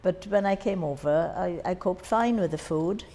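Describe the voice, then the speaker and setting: A woman's voice: on the low side, moderate pace, dark tone. An older woman in her sixties with a Jamaican accent, reminiscing in an oral history interview. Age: 60s. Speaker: interviewee, former overseas student nurse. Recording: echoey hall, faint background noise.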